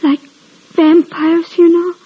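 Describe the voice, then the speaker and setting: An actress playing a timid, worried, deferential housemaid in old-time radio drama style. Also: female voice, very high voice, little pitch movement, takes it slowly. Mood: nervous gossip. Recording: hissy, muffled old radio recording.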